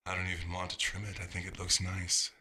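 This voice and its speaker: A man playing a nostalgic, fastidious vampire doctor in an ASMR roleplay, murmuring to himself in an unhurried, gently wistful way. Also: deep voice, completely flat delivery. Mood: calm, musing.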